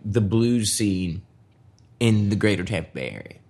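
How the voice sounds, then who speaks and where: A young man with a fairly low voice talks about music in a relaxed, rambling way. He strings thoughts together with fillers and stops to restart his sentences. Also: moderate pace. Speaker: guitarist guest. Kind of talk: casual conversation.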